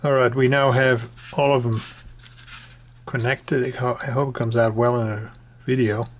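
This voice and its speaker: A man presenting an informal hands-on do-it-yourself tutorial, explaining step by step in a halting way and repeating his instructions. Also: medium pitch, little pitch movement. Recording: clearly noisy home recording with clicks.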